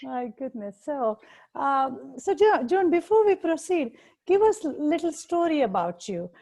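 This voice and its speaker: A woman's voice: sits high, sing-song, a little slow, mellow. A woman with an Indian accent hosting an interview chat, speaking hesitantly with many fillers. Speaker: host, dietitian.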